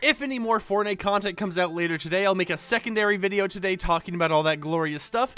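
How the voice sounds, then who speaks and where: A man's voice: high-pitched, fast talker, sharp tone, soft background music. A young man hosting a casual gaming news video, chatty and rambling, leaning on fillers and a sleepy morning tone. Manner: chatty, rambling with many likes and basicallys. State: sleepy, recorded early in the morning.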